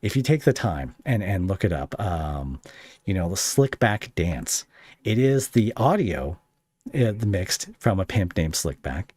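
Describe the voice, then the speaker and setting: A man's voice: low voice, sing-song, measured pace, a little mellow, dry recording. A man hosting a casual podcast interview, rambling a little as he searches for words.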